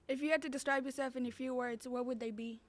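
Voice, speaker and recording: A woman's voice: sits high, fairly even intonation, quick pace. A young woman, a student host, asking brief, polite interview questions from away from the microphone. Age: teens.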